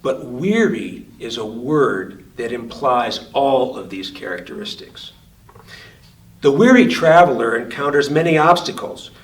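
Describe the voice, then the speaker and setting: A man reads his own written essay aloud, pacing it slowly and deliberately. His voice sits at a middle pitch. The sound comes from some distance off the mic, with a roomy echo around it. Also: lively intonation. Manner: slow, measured, deliberate reading cadence. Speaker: physician and author.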